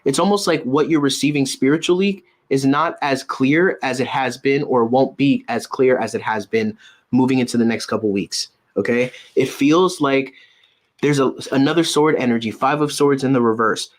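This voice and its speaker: A man giving a casual, intuitive tarot reading, halting and circling back to repeat his phrases. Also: mid-range voice. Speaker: tarot reader and medium, host.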